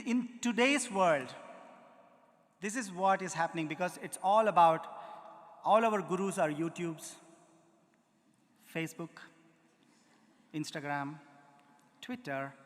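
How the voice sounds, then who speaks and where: A man with an Indian accent giving a lively lecture demonstration, quizzing the audience and sounding out syllables. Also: high-pitched, takes it slowly, long pauses. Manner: demonstrative, engages the audience with questions and spoken syllables. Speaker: music lecturer. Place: large auditorium. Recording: hall reverb.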